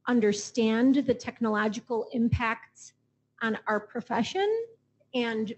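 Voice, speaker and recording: Husky-voiced woman on a panel stage. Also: a little high, fairly even intonation, rough recording. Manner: measured, thoughtful, builds long lists. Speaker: panelist, association executive. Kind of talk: panel discussion answers. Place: auditorium stage.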